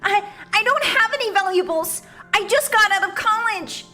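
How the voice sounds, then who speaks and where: Woman voicing a high, chirpy, naive young protagonist. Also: normal speed, sharp tone, faint music behind. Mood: nervous, naive.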